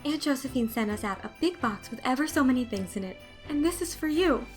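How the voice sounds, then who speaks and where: A woman's voice: sits high, animated, faint music behind, pitched above the performer's own voice. A woman voicing a friendly young girl who talks breathlessly. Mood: eager. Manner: rushed, breathless.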